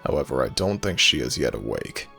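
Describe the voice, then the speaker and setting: An ancient death god with an extremely low, creaking voice over clear background music. He is stern and weary, speaking in formal, measured commands for an audio roleplay. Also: sing-song, bright, forceful tone, heavily acted. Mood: commanding, weary. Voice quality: gravelly. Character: Anubis, stern, weary male god of the dead. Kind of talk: ASMR audio roleplay acting.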